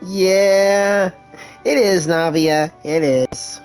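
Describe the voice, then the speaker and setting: A woman streaming a game with casual, low-key reactions. The voice is fairly low and slow, in short drawn-out remarks, with faint game music behind. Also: fairly even intonation, darkish tone, clear, resonant tone. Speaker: gaming streamer. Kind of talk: casual reactions over gameplay.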